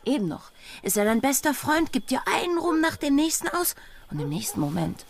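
An actress in a German radio drama playing a bold, freedom-hungry pirate's lover, speaking with earnest, intimate passion. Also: female voice, sits high, very animated, brisk, gravelly, full character voice. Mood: alarmed. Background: music.

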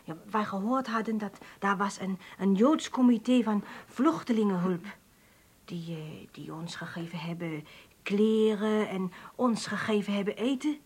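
Husky, halting immigrant mother. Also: medium pitch, a little mellow. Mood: weary, halting. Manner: halting, broken grammar with foreign word order. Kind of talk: Dutch radio play acting.

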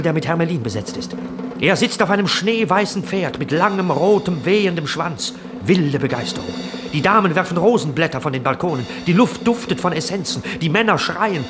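A man narrating a 1960s German radio play in measured, formal scripted delivery. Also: a little high, natural voice. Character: measured narrator reading out stage scenes.

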